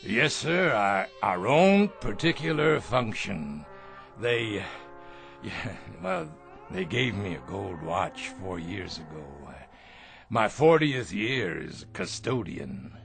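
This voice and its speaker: A man's voice: fairly low pitch, sing-song, takes it slowly, vocal fry, fairly bright tone, soft background music, heavily acted. An actor playing a meek, downtrodden old man in a radio drama, stammering, pleading and deferential. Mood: meek, subdued. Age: older.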